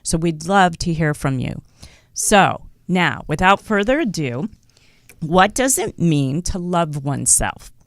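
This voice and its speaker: A woman's voice: fairly low pitch, lively intonation, takes it slowly, no room sound, clean studio sound. A woman with an American accent hosting a conversational interview show. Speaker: talk show host. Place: studio in Hollywood.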